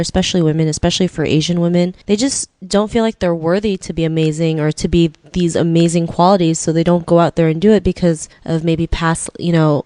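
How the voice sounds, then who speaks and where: A woman's voice: on the low side, darkish tone, smooth, no echo. A woman hosting an interview podcast, talking conversationally with frequent "you know" filler phrases. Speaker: podcast host.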